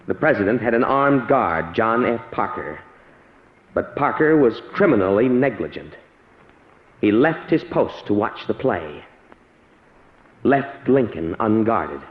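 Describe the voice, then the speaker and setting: Earnest young assistant, echoey old radio. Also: male voice, on the low side, big pitch swings. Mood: grave narration. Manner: dramatic, deliberate, respectful. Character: earnest young research assistant, man, intense and awed. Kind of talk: old radio drama acting. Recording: echoey, dull vintage radio recording.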